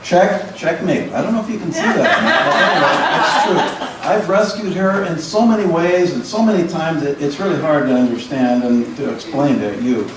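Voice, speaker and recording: A man's voice: a little high, moderate pace, few pauses, far from the mic, background noise. Playful man joking in an echoey room. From Pacific Northwest. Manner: playful, self-deprecating, rambling. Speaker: comedian, the woman's husband. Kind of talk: stand-up routine. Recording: echoey room, mic from afar.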